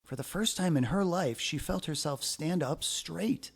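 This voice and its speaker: A man narrating a children's story aloud in an expressive, deliberate way with dramatic phrasing. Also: a little high, vocal fry, slightly rough.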